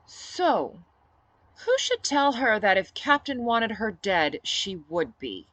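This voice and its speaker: Woman acting a snappy, acerbic woman, pitched high. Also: sing-song, takes it slowly, fairly bright tone, no echo, low-quality recording. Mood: indignant. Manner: snappy, sarcastic, emphatic. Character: acerbic, bossy married woman, sharp and teasing.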